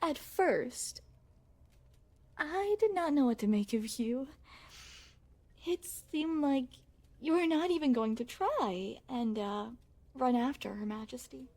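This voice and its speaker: A woman plays a young crown princess in an intimate ASMR roleplay. Her voice sits on the high side and moves very slowly. She phrases everything formally, with a genteel, coy and self-satisfied poise. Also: lively intonation, a little mellow, heavily acted. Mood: fond, amused. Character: young crown princess, refined, playful, secretly possessive. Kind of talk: ASMR roleplay, scripted, addressed to the listener.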